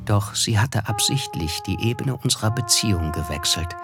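Wry, observant literary narrator for radio drama, measured delivery, music underneath. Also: male voice, lowish voice, expressive, creaky. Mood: reflective, wry. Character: reflective male narrator of a 1920s American novel, observant, wry. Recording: music behind the voice.

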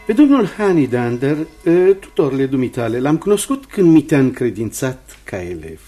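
Mellow, husky reverend tutor. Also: male voice, mid-range voice, very animated, faint music behind. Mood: measured. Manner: measured, pious. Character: kindly, measured reverend tutor, gently moralising. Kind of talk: radio drama acting.

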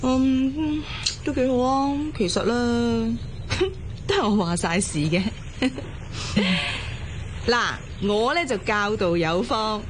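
An actress playing a bubbly, bossy, prying young best friend in a Cantonese radio drama, with a Hong Kong accent. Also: female voice, on the high side, slow, a little hiss or hum. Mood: nosy, impatient. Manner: chatty, prying, expressive.

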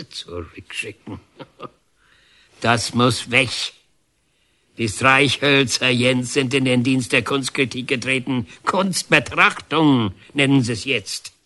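An actor playing a defiant, bitter, sarcastic painter in a German radio drama. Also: male voice, medium pitch, slow, sharp tone. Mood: outraged. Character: defiant, bitter male painter, banned by the regime.

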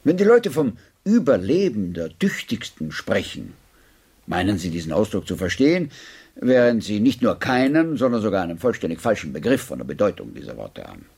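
Genial, ironic English gentleman thinker for radio play; husky, swooping delivery, courteous and wordy. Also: on the low side, huge pitch swings, brisk, full character voice. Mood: spirited, argumentative. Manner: courteous, wry, discursive. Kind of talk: German radio drama acting.

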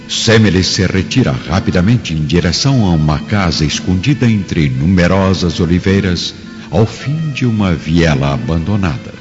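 A Brazilian man delivers formal, solemn story narration. His voice is very low and carries a long reverb. Music plays clearly behind him. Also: darkish tone, highs cut off. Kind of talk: dramatic scripted narration. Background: background music.

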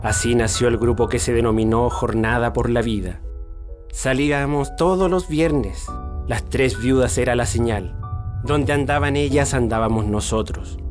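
An older Chilean man recounts his memories in a medium-pitched voice. Music runs behind him. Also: fairly even intonation, measured pace.

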